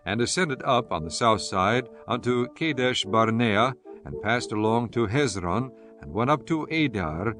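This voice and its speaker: A man reading scripture as an audiobook narrator, in a measured, formal and solemn manner. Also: mid-range voice, animated. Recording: music under the voice. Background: soft instrumental music.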